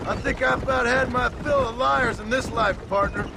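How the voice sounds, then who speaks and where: A gravelly, creaking American Western drawl voices a stern, world-weary gunslinger in a video game. The man is terse, dry and threatening, with clear noise of game effects behind him. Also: very high voice, fairly even intonation, moderate pace. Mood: sardonic. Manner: sardonic, terse. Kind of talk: video game cutscene acting.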